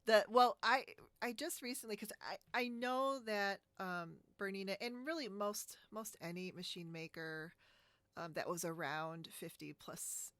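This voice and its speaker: A woman hosting a podcast in casual, informal conversation, chatty and quick to giggle. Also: medium pitch, a bit bright, dry recording. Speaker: podcast host. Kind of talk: casual chat about sewing. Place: indoors, split-screen video call.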